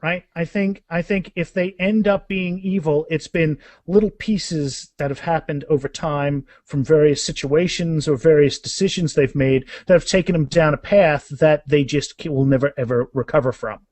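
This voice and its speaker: A man's voice: fairly high pitch, steady tone, moderate pace, few pauses, a little mellow, no echo. A man chatting casually as a guest author, emphatic and often repeating words as he explains. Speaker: guest author discussing his books. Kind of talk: casual animated interview chat.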